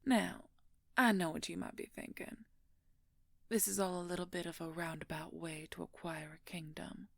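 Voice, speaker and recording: A woman playing a smug, possessive female werewolf in an audio roleplay, murmuring her condescending threats in a breathy, often whispered voice. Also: fairly low pitch, steady tone, fairly bright tone, dry recording. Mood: gloating. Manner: slow, smug, condescending, drawn-out menace. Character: menacing, possessive female werewolf, former court mage. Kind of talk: audio roleplay monologue addressed to the listener.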